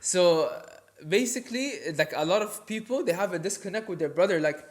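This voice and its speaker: Higher-pitched young man, earnest. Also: fairly quick. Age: young adult. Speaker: podcast co-host. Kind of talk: casual sibling podcast chat.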